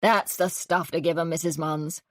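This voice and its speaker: Airy posh gent, friendly and smooth, woman reading him. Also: sits low, steady tone, normal speed, no room sound, studio quality, natural voice. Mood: cordial. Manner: cordial, airy, well-spoken. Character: cordial, breezy upper-class gentleman.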